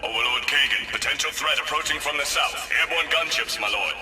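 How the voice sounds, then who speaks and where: A man's voice: fairly high pitch, brisk, very noisy, lightly acted. An actor playing an urgent military henchman giving clipped reports in a video game. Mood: urgent, dutiful. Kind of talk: video game soldier acting. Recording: very loud music behind.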